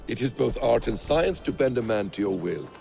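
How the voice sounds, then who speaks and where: Gravelly, silky scheming assassin. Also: male voice, medium pitch, lively intonation, moderate pace, warm tone, soft background music. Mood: wry, persuasive. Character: shrewd, silky schemer and assassin, male, philosophical and watchful. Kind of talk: video game voice acting.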